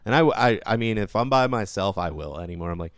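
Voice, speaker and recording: A man's voice: lowish voice, lively intonation, fast, no echo, clean studio sound. A man co-hosting a podcast in casual gaming banter, swearing freely and speaking in stop-start phrases with trailing asides. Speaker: podcast co-host.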